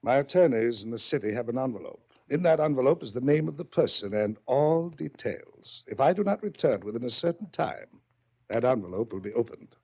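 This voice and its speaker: An actor playing a wealthy, smugly menacing yacht owner in a 1940s radio drama, taunting with theatrical pauses and drawn-out words. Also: male voice, medium pitch, expressive, a little mellow, breathy edge. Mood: gloating. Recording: muffled old-time radio recording.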